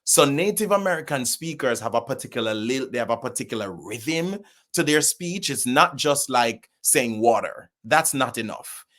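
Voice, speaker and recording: A man's voice: mid-range voice, moderate pace, few pauses. A man with a Jamaican accent, a guest on a podcast, talking casually about acting and slipping into patois. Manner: lively, drifts into Jamaican patois. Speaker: guest, actor and theatre producer.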